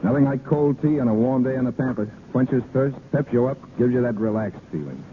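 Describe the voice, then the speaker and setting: An actor playing a breezy, teasing rancher suitor in 1930s radio style, wry and romantic. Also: male voice, mid-range voice, expressive, brisk, natural voice. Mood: easygoing. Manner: wry, bantering. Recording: scratchy narrowband 1930s radio recording.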